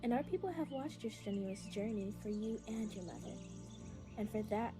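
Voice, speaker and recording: A woman's voice: medium pitch, lively intonation, moderate pace, close to an everyday voice. Solemn otherworldly girl, played by a woman, music behind. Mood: solemn, earnest. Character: solemn little girl from a powerful otherworldly race. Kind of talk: storybook character dialogue. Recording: music bed under the voice.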